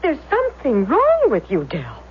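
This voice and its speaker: Insistent exasperated wife, high voice, accusatory, radio drama acting, muffled old recording. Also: female voice, wildly swooping pitch, moderate pace, slight background noise. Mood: firm, pleading. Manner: pressing, accusatory, repeats demands. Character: exasperated, insistent wife confronting her secretive husband.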